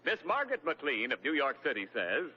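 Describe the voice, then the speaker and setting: A man's voice: medium pitch, wildly swooping pitch, brisk, sharp tone, a little hiss or hum. Polished old-time radio announcer man. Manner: smooth, polished, emphatic. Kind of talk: announcing commercials. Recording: dull lo-fi old radio recording with music.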